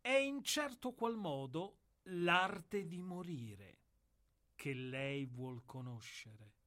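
A weary old executioner delivers a radio play monologue. His voice sits high and moves slowly, with declamatory weight and long pauses. He sounds humble and philosophical, brooding over a grim trade. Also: expressive, bright, forceful tone, heavily acted.

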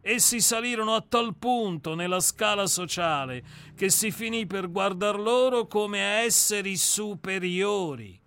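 A man's voice: high voice, animated, unhurried, few pauses, sharp tone, clear, resonant tone, dry recording, full character voice. An actor reading the part of a famous male novelist who claims to be a murderer, speaking in a lecturing, sardonic, self-assured way in a radio drama reading. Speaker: character in a radio play reading.

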